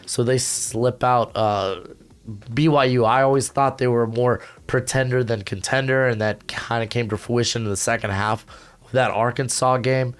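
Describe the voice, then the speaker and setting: A young male host talks through college football rankings in quick, slangy bursts, full of fillers. His voice is hoarse, yet it stays fairly clear under the strain. He speaks at a mid pitch, recording at home. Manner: energetic, slangy, rapid asides with frequent fillers. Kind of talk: casual rankings commentary to camera. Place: home recording setup with a humidifier.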